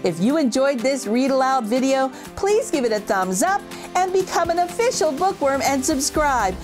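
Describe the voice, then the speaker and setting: Bright, high-voiced woman, middle-aged, animated picture-book reader, soft music behind. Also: normal speed. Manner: sing-song, exaggerated storytelling voice. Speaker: children's book reader, channel host. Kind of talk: expressive picture-book read-aloud.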